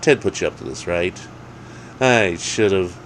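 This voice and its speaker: A man's voice: deep voice, a little slow, slight background noise, poor audio. Casting: upbeat, unbothered regular guy acted by a male narrator, chatty and casual, for comic storytelling. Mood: stunned. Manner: chirpy, casual, rambling. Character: cheerful, unflappable easygoing man, demon's one-night date.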